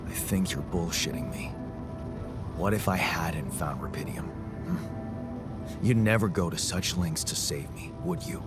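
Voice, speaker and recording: An actor playing a weary, self-doubting working miner in a video game, blunt, plain-spoken and guarded. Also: male voice, low-pitched, animated, a little slow, pauses often. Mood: suspicious, accusing. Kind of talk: naturalistic video game acting. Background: prominent music.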